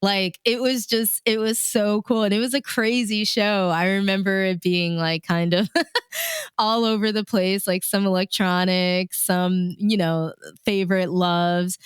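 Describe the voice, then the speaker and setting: A woman's voice: medium pitch, smooth, no room sound, studio quality. A woman chatting casually and telling stories in the manner of a radio DJ, animatedly acting out her own thoughts as she goes. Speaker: radio DJ and host, interview guest. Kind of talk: casual conversational storytelling.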